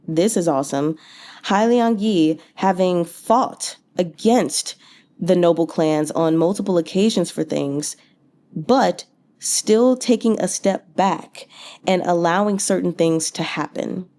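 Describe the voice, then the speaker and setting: A woman's voice: lowish voice, slow, warm tone. A woman giving casual, rambling book commentary as a channel host, thinking aloud in an informal, chatty way with slangy asides.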